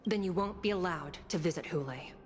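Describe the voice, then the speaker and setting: A woman playing a calm, authoritative prison judge in a video game, speaking formally and with stern, measured delivery. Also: lowish voice, faint music behind, close to an everyday voice. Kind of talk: scripted video game dialogue.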